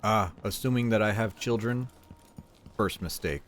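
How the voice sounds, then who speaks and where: A man's voice: fairly low pitch, normal speed. A man from Mexico with a Mexican accent, giving casual, sarcastic and profane commentary while streaming a game. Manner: sarcastic, profane, self-mocking.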